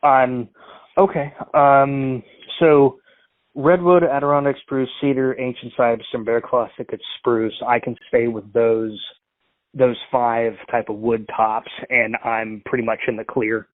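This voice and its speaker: A man's voice: medium pitch, level tone, unhurried, no room sound. A man making a prank phone call, rambling and full of fillers and restarts. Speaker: prank caller. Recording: tinny dry phone line.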